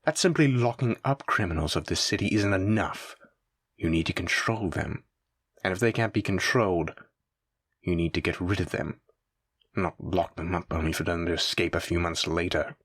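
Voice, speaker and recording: A man's voice: low-pitched, normal speed, a bit bright, no echo, studio quality. A man playing a suave, menacing mafia boss in a roleplay audio drama, with a theatrical, teasing and condescending manner. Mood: smug, calm menace. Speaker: voice actor. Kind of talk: scripted roleplay audio drama addressed to listener.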